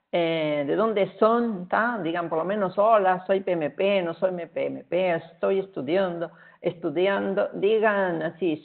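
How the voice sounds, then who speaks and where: A middle-aged Argentine woman speaks in a low, gravelly voice with a Portuguese-tinged accent, relaxed and chatty, filling pauses with "eh." The sound comes through a thin, phone-like video call line. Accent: Argentine Spanish, with Portuguese influence. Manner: relaxed, chatty, many "eh" fillers and restarts. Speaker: guest speaker. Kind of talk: webinar presentation. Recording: tinny phone-like online call audio.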